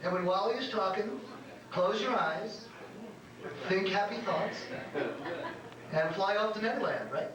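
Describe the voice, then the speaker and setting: Recorded from far off in a very echoey club with a crowd, a middle-aged man hosts from the stage. He rambles and jokes informally in a fairly high voice. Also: unhurried, pauses often. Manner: rambling, joking. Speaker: show host, comedian. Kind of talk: informal stage introduction to a live audience. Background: audience responses.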